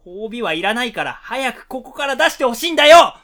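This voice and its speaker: An actor playing an exasperated character trapped in a dark room, with blustery, incredulous retorts in a comedy radio drama. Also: mid-range voice, sing-song, a little fast. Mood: weary.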